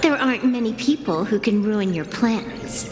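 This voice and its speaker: An actress playing a cool, confident young female hacker in a video game, speaking in a deliberate, challenging way. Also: medium pitch, animated, close to an everyday voice. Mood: defiant. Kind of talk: dramatic video game voice acting. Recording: echoey reverberant space, music underneath. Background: game music.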